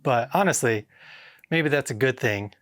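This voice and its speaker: Male DIY vlogger, mid-pitched, informal and self-talking, short exclamations, close dry indoor sound. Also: moderate pace, a bit bright, dry recording. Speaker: home theater DIY vlogger. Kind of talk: casual hands-on vlog narration, thinking aloud. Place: indoor home theater room with drop ceiling.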